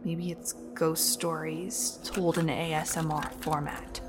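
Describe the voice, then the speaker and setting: A woman's voice: low voice, flat delivery, slow pace, noisy background. A woman playing a young wife with a wry, eerie streak in an audio drama. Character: young wife, shaken murder suspect haunted by dark fears.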